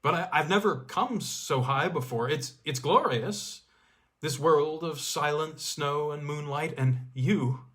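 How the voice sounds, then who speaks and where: A man's voice: fairly high pitch, takes it slowly, a bit bright. Man acting a stammering, enraptured, pleading mountain climber.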